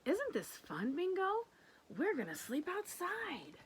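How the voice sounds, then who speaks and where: Storybook little girl, voiced by a woman reader, eager and sure of herself, emphatic, lifted pitch. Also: huge pitch swings, slow pace, a little hiss or hum, full character voice. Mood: excited. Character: Lulu, confident excited little girl in a picture book.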